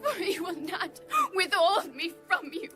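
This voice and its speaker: A very high-pitched young princess of ancient Israel, pleads in an audio Bible drama. She speaks slowly, wronged and imploring, with music clearly playing behind her. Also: fairly bright tone. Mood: pleading. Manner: slow, imploring delivery. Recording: music under the voice.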